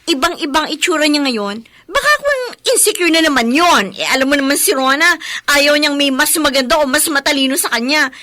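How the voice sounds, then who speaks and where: A woman's voice: high-pitched, expressive, fairly quick, sharp tone, no room sound, studio quality. A Filipino woman playing a catty, suspicious young woman in a radio drama, petulant and indignant.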